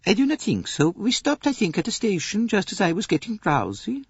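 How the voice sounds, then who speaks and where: A man voicing a gentle Swedish lady. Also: sits high, wildly swooping pitch, brisk, husky, no room sound, voice pushed up, full character voice. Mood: earnest. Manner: soft, earnest, precise formal phrasing. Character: kindly pious middle-aged Swedish woman, gentle. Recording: dull dry studio recording.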